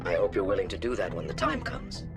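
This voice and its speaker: A man's voice: low-pitched, wildly swooping pitch, fast talker, over music. An actor playing a stern, formal male alien councilor giving an official briefing in a video game. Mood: grave, official.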